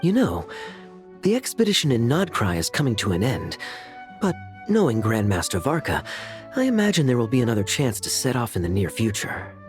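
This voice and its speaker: A man voicing a suave, easygoing knight in a video game, talking in a smooth, teasing way. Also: medium pitch, wildly swooping pitch, a little fast, faint music behind. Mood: playful, coy. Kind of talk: video game character dialogue.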